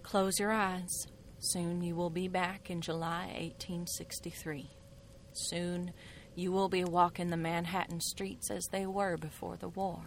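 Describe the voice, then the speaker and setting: An actress playing an enigmatic, worldly woman mystic in a radio drama, skeptical and knowing, with deliberate, eloquent, theatrical phrasing. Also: fairly low pitch, little pitch movement, a little hiss or hum. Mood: calm, hypnotic.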